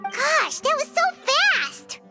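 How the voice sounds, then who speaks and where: Tiny childlike game companion, excitable, piercingly high squeak, drawn-out slow delivery, loud music behind. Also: full character voice. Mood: amazed. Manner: squeaky, exclamatory. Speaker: game character voice actor.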